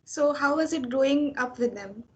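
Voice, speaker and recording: A woman hosts a relaxed interview and keeps her questions light and upbeat. Her voice sits high, and a soft laugh slips in between her questions. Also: moderate pace, lo-fi sound.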